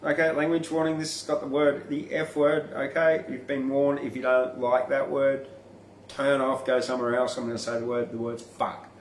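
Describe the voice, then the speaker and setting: A man's voice: medium pitch, little pitch movement, moderate pace, far from the mic, slight background noise. A man hosting and performing his own poetry, with casual asides and an informal, blunt manner. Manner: informal, blunt, freely swearing. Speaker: podcast host and poet reading own verse. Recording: roomy, echoing room sound.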